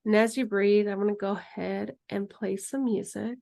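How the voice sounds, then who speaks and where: A woman teaching an herbalism class in a relaxed, chatty way, then leading a guided meditation. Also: medium pitch, normal speed, vocal fry. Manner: relaxed, chatty, laughs easily.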